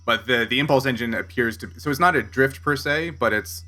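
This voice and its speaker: Bright-voiced man running a tabletop game. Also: mid-range voice. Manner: halting, self-correcting delivery. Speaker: game master. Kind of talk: narrating a tabletop sci-fi game.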